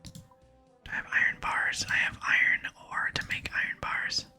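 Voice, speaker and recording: An ASMR gaming host whispering casual commentary, softly murmuring thoughts aloud while playing. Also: a little slow, faint music behind. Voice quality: soft breathy whisper. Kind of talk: ASMR gameplay commentary, reading dialogue aloud.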